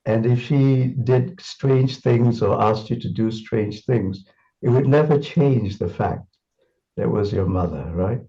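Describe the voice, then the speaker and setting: A man's voice: medium pitch, slow, warm tone, muffled recording. A man from Singapore reminiscing in an interview, thoughtful and full of restarts and fillers. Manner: thoughtful, repeats words, many fillers.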